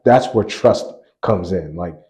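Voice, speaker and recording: A man in his forties, a basketball coach, telling stories in a relaxed interview, laughing often and circling back mid-sentence. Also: low-pitched, takes it slowly. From grew up on a US army base in Germany. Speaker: college basketball assistant coach, guest. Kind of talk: casual interview storytelling.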